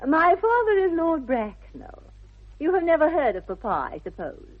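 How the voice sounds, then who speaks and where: A woman's voice: sits high, wildly swooping pitch, moderate pace. An actress playing a haughty, self-assured young aristocratic lady with an upper-class English accent and affected, precise politeness, in a 1960s radio comedy of manners. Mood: haughty, candid. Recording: dull vintage 1960s radio recording.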